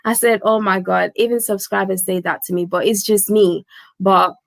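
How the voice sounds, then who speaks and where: A woman from Gambia, a Wolof speaker, chats through her live stream with a slightly gravelly, creaky voice. The sound is dry and close. She talks freely, repeats herself and keeps saying "basically". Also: medium pitch, steady tone, moderate pace, no echo. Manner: chatty, repeats words, says "basically" often. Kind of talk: casual live-stream chat.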